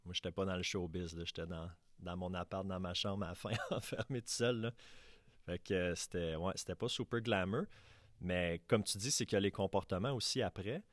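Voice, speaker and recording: A man in his thirties with a Québécois accent speaks in a very low voice. The recording is close and dry, and he talks casually and matter-of-factly, dropping in everyday fillers. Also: fairly quick, no echo. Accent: Québécois French. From Quebec. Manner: plain, matter-of-fact, fillers like fait que. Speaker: podcast host. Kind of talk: casual conversational interview.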